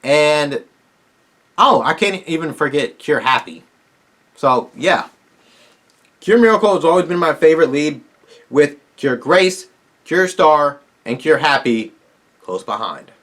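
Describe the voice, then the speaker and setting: A man's voice: on the high side, lively intonation, measured pace, pauses often, a bit bright. A man hosting his own video channel, wrapping up a casual Q&A in a tired, strained voice as he rambles eagerly to his viewers. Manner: rambling, eager fan talk with restarts. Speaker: YouTuber host.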